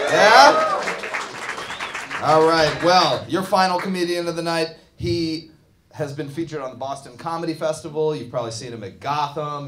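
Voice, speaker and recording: A man's voice: fairly high pitch, background noise. A man emceeing a comedy show, introducing the headliner in a hype-building announcer manner from a club stage with a live audience.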